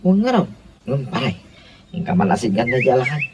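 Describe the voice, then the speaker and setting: A man's voice: mid-range voice, big pitch swings, brisk, noisy background, natural voice. A Filipino man with an Ilocano accent playing a chummy office buddy in a radio comedy drama. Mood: chatty.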